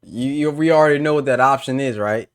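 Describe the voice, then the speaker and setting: A man's voice: medium pitch, quick pace. A man giving casual reaction commentary in an offhand, slangy way. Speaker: reaction host. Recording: faint background noise.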